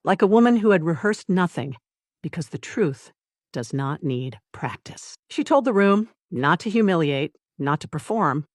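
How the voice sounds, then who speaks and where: A narrator reading a scripted dramatic story in deliberate short phrases with dramatic pauses. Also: mid-range voice, lively intonation, no echo, clean studio sound. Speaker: audiobook narrator.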